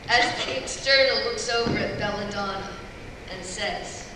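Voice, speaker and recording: A woman narrates stage directions from a script, live. Her voice carries through a large, strongly echoing hall. The microphone sounds distant, and there is clear noise in the background. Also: mid-range voice, measured pace. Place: large indoor convention hall before fans. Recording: very echoey convention hall, distant, noisy.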